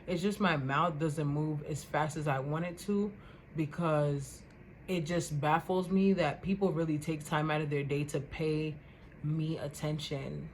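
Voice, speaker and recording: A young woman vlogger with a loud voice, ranting casually to the camera in an animated, indignant way and repeating words for emphasis. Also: low-pitched, a little slow, a little hiss or hum.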